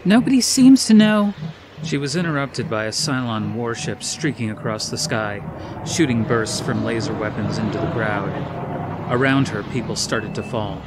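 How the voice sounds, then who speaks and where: A man's voice: lowish voice, moderate pace, very noisy. A man reading a dramatic story as scripted audiobook narration.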